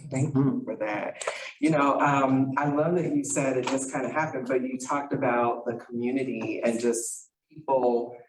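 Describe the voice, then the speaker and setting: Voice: medium pitch, fairly even intonation, moderate pace, slight background noise. A panel moderator talks in an easy, conversational way, thanking a guest and posing questions with frequent fillers. The voice carries a distant, roomy sound from a large hall.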